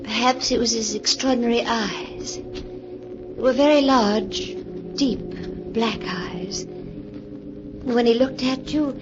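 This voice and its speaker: An actress playing an anxious, gentle sister who narrates a 1940s radio suspense tale with earnest, dramatic pauses. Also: female voice, on the high side, over music. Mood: grave, wistful. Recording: dull, noisy 1940s radio recording.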